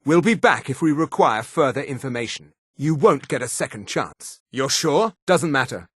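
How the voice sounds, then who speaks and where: A man voicing a haughty male high elf officer in a fantasy video game, speaking with disdainful, formal authority. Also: lively intonation, moderate pace, fairly bright tone, breathy edge, dry recording, clean studio sound. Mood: cold, officious.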